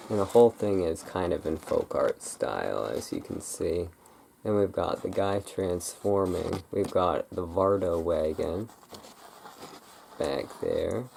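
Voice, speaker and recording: Very low, slow, gravelly ASMR voice. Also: lively intonation, darkish tone, a little hiss or hum. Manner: halting, rambling, self-interrupting. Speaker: ASMR video creator. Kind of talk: ASMR, unscripted casual chat.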